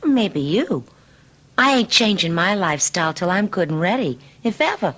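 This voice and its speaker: An actress playing a defiant, streetwise but soft-hearted teenage girl in old-time radio drama, brash and slangy. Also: lowish voice, very animated, moderate pace.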